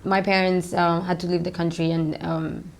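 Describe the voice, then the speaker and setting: A woman in her thirties, originally from Romania, tells her story in a somewhat low voice. She rambles loosely, filling pauses with "um" and "like" as she answers interview questions in an office. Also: little pitch movement, gravelly. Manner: rambling, many fillers like "um" and "like". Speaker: startup founder and CEO.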